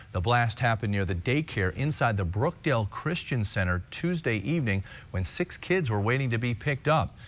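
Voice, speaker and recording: An American man reading scripted stories as a television news anchor. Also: mid-range voice.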